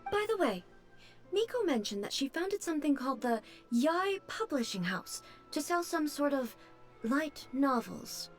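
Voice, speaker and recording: A woman's voice: high voice, faint music behind. An actress voicing a composed, regal woman ruler in a game. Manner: calm, measured, slightly puzzled. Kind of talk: game dialogue.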